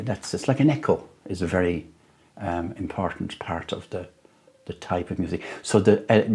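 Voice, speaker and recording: A man with an Irish accent and a very low, dark, mellow voice. He answers interview questions slowly and reflectively, pausing often and circling back over his own words. He is speaking at his home. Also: vocal fry, slightly rough. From Ireland. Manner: slow, thoughtful, halting with many restarts. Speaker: musician and storyteller.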